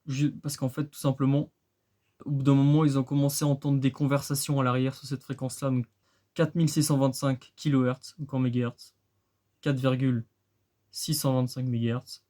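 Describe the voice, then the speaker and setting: A man's voice: mid-range voice, little pitch movement, normal speed, warm tone. A man giving a casual explainer, conversational, with rhetorical questions and phrases repeated for emphasis. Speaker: presenter of a radio-hobby video.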